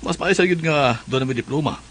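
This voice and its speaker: A man's voice: a little high, a little fast, a bit bright, slight background noise, close to an everyday voice. A man with a Filipino accent acting an approving, hospitable middle-aged father in a radio drama.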